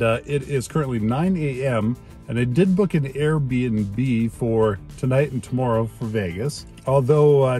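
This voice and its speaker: A man's voice: mid-range voice, darkish tone. Man in his 30s, upbeat car vlogger, phone mic, faint music bed. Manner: chatty, enthusiastic, many fillers. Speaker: EV road trip vlogger. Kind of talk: casual vlog talk to camera. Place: inside a parked car. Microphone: phone camera at arm's length.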